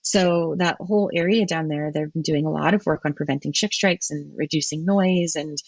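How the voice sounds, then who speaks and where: Woman guest, husky voice, explains science thoughtfully with many restarts, dull muffled audio. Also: sits low, normal speed, dry recording. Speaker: guest marine ecologist. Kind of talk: podcast interview answers.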